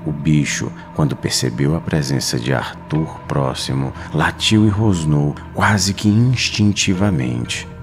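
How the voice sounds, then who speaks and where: A Brazilian man with an extremely low voice tells a horror tale at an unhurried pace. His delivery is suspenseful and dramatic, with weighted pauses, and music plays behind him. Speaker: horror story narrator. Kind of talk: dramatic scripted horror narration. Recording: narration over clear background music.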